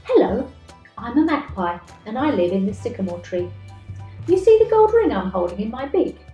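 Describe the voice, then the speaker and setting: A woman's voice: on the high side, big pitch swings, moderate pace, warm tone, reverberant, dull audio, soft background music. A woman voicing a chatty, nosy storybook magpie, a reformed thief who confides in the listener expressively. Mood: chatty, confiding.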